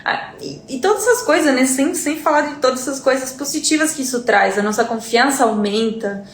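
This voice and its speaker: A woman's voice: high-pitched, fairly quick, reverberant. A Uruguayan woman, a native Spanish speaker with a Uruguayan accent, teaching in an earnest live-stream lesson. She often repeats words and checks in with her listeners.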